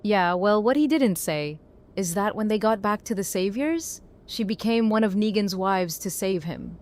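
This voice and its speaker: A woman's voice: medium pitch, lively intonation, moderate pace, a little mellow, close to an everyday voice. A woman playing a blunt, battle-worn apocalypse survivor in a naturalistic audio drama. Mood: candid.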